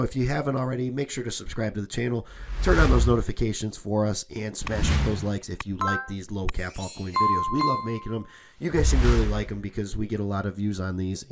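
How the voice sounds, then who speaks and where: Man with a cold, slightly raspy. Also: lowish voice. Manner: loose, repetitive, says "okay?" often. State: has a cold, a bit raspy. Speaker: crypto channel host. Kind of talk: casual screen-share commentary.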